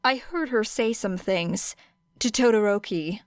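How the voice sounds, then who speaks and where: A woman's voice: mid-range voice, measured pace, no room sound, clean studio sound, natural voice. A woman voicing a stern, commanding female hero in an audiobook, with a harsh, authoritative manner. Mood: grudging, uneasy. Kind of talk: audiobook character acting.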